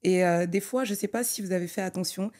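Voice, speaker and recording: A young adult woman who grew up in Togo, an architect talking through her career as a podcast guest, thoughtful and full of pauses and restarts. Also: lowish voice, a little mellow. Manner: thoughtful, many fillers and restarts. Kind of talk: conversational interview.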